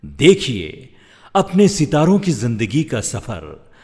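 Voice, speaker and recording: A middle-aged man reading scripted voice-over in a measured, dramatic way. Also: mid-range voice, wildly swooping pitch, mellow, husky, big-room sound. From India. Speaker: professional voice-over artist. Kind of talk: scripted voice-over for commercials and narration.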